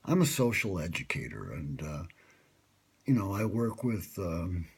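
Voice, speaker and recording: A man's voice: low voice, big pitch swings, measured pace, slightly rough. A man talking in a rambling, wry and self-mocking way, with many fillers, recorded close on a phone. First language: English. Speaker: vlogger pitching a radio show. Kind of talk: rambling late-night monologue. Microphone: smartphone held close.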